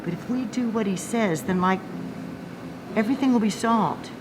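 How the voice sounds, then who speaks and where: A woman's voice: medium pitch, normal speed, heavy background noise, natural voice. A woman playing an earnest, devoted believer in a radio play, speaking hesitantly as she searches for words. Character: earnest, devoted woman convinced by a new leader.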